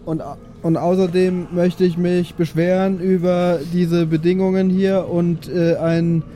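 Man as a mellow, cheeky, pestering prisoner. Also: high-pitched, level tone, measured pace, soft background music. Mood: indignant. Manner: hesitant, meandering, politely insistent. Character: chatty, cheekily polite male prisoner pestering his guards.

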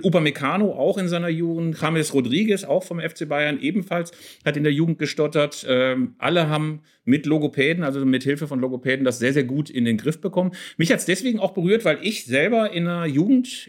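A man's voice: a little high, fairly quick, few pauses. A middle-aged man co-hosting a casual football discussion, explaining things earnestly and at length. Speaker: podcast co-host.

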